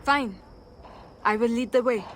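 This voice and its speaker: A woman's voice: a little high, measured pace, a bit bright, a little hiss or hum. An actress playing a wary, accusing townswoman turned reluctant guide, stern and guarded, in a dramatic video game.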